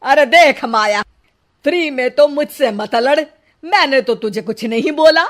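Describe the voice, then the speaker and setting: A woman's voice: high-pitched, measured pace. A woman voicing a nagging, quarrelsome mother-in-law in a cartoon, scolding and sarcastic. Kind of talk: cartoon voice acting.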